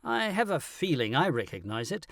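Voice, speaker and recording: A man voicing a brilliant, excitable male detective in an audiobook, speaking in a commanding, theatrical and precise way. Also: on the high side, normal speed, creaky, dry recording, studio quality, full character voice. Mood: excited. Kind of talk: audiobook character acting.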